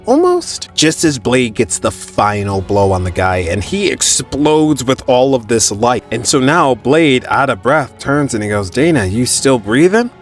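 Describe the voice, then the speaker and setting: A man's voice: mid-range voice, sing-song, measured pace, hardly any pauses. A man telling a story in a casual, conversational way, as a narrator recapping a comic book. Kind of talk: casual animated story recap, quoting characters' dialogue. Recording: music faintly behind the voice. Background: background music.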